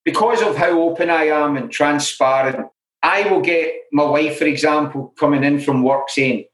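A middle-aged Glaswegian man speaks candidly and with self-deprecating humour. His voice sits a little higher than most men's. He is recorded in an echoey room that gives the voice a roomy ring. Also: normal speed, a bit bright. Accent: Glaswegian Scottish. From Scotland. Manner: frank and self-deprecating, full of "you know" asides. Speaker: interview guest in alcohol recovery. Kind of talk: candid conversational storytelling.

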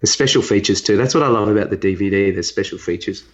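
A man's voice: lowish voice, fast. A man co-hosting a podcast in casual, teasing, chatty banter, on a slightly dull recording. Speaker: podcast co-host.

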